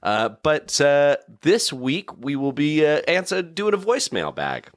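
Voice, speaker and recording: A man hosting a casual podcast, talking in a halting way as he searches for words. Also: a little high, big pitch swings, no echo, clean studio sound. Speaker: podcast host.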